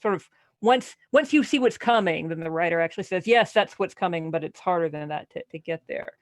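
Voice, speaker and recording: A podcast panelist talking casually through a book, questioning it with animated incredulity and frequent restarts. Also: mid-range voice, fairly quick, no room sound, studio quality.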